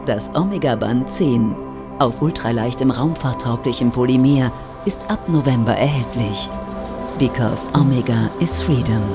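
A man's voice: mid-range voice, moderate pace, over music, lightly acted. A man playing a polished, promotional announcer in a futuristic gadget advert within an audio drama. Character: slick male commercial announcer for futuristic wristband. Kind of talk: advert voice-over.

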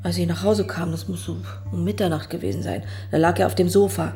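An actress plays a loyal, controlled wife standing up for her husband in a radio play. She is a doctor with a lowish female voice, with faint music behind her. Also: steady tone, a little fast, warm tone, natural voice. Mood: subdued. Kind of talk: German radio drama acting.

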